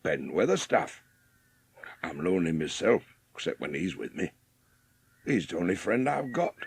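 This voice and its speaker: An actor playing a gruff, lonely, sour old Yorkshire gardener in a dramatised audiobook, speaking blunt rustic dialect. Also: male voice, low voice, sing-song, normal speed, heavily acted. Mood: wry, gruff.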